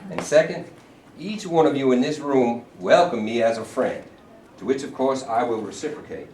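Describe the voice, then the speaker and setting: A man playing a smooth, condescending governor. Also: mid-range voice, moderate pace, a little mellow, a little hiss or hum, natural voice. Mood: smug. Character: pompous, scheming middle-aged male state governor. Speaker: actor in a staged reading. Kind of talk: stage play reading. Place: performance hall. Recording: roomy hall from a distant mic.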